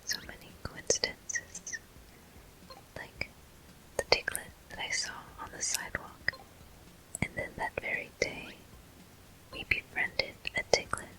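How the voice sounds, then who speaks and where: A woman hosting a gameplay video in ASMR style, murmuring softly and gently as she comments. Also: poor audio. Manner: soft, gentle, unhurried murmuring. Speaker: gameplay host.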